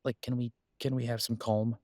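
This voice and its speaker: A man acting as an earnest, protective werewolf in an intimate roleplay audio, speaking tenderly to a partner with halting pauses. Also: medium pitch, flat delivery, darkish tone, dry recording, studio quality, natural voice. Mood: wry, weary.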